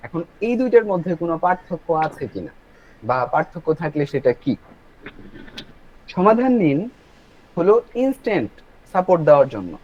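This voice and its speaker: A man with a somewhat high voice presents an online session. He explains step by step and speaks straight to his listeners. The call audio is a little muffled. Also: a little mellow. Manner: instructive, addresses listeners directly. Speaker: webinar host and presenter. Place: online video meeting.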